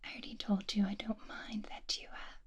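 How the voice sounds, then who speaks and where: A woman voicing a gentle, bookish young woman who is doting and kind, speaking in a breathy whisper for an ASMR roleplay, soft, tender and reassuring. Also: mid-range voice, little pitch movement, fairly quick, muffled recording.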